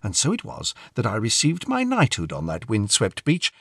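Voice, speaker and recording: A man's voice: fairly low pitch, huge pitch swings, moderate pace, gravelly, fairly bright tone, husky, dry recording, studio quality. A man reading a first-person short story aloud as a dramatic narrator. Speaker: story reader.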